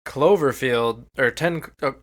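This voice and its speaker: A man's voice: mid-range voice, unhurried. A man co-hosting a podcast in casual, conversational talk, arguing his points. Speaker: podcast co-host. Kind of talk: casual chat.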